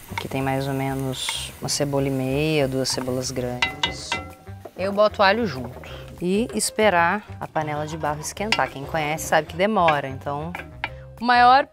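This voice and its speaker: Brazilian woman in her 30s doing a cooking demo, super informal and chatty, music playing behind her. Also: low voice. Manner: chatty, informal, explaining step by step. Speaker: cooking show host. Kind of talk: casual cooking demonstration. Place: open-sided thatched outdoor kitchen. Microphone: lapel.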